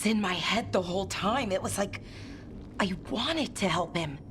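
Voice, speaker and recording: An actress playing a shaken, earnest woman mage, a freed captive, speaking haltingly in a dramatic game cutscene. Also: mid-range voice, animated, soft background music, close to an everyday voice. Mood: distressed. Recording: faint ambient noise behind the voice.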